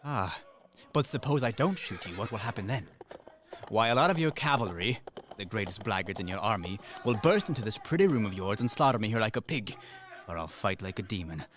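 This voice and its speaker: A man acting in a radio play as a desperate fugitive soldier, brisk, commanding and sardonic. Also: on the low side, expressive, fairly bright tone. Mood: wry, menacing. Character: fugitive Serbian soldier, cynical, desperate, coolly threatening. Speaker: actor in a student radio play.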